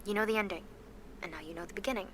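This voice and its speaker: A woman's voice: mid-range voice, rapid-fire, fairly bright tone, slight background noise, lightly acted. A woman playing an anxious, guilt-ridden seventeen-year-old girl with superpowers in an audio drama, speaking in a confessional, sardonic, conversational way. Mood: somber, guilty.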